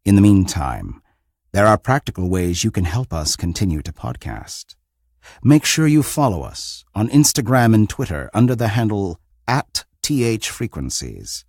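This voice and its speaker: Very deep, husky, theatrical male host. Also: a little mellow, dry recording, clean studio sound. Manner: theatrical, formal, deliberate phrasing. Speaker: host of an audio drama anthology podcast. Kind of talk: scripted narration.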